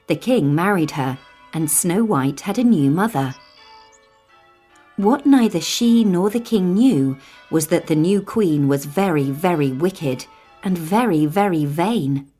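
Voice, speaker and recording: Expressive, slow-paced woman storyteller. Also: lowish voice. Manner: deliberate, dramatic phrasing. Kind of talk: scripted fairytale narration for young children. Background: music.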